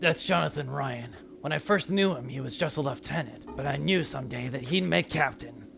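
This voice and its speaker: A man's voice: mid-range voice, brisk, creaky, over music. A man playing an earnest, grandiose and dryly comic space commander in a sci-fi comedy audio drama. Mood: grave, dry.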